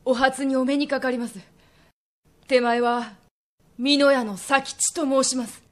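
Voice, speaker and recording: A woman playing a suave young male merchant in a period radio drama, speaking politely, smoothly and deliberately. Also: sits high, fairly even intonation, no echo. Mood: composed, earnest.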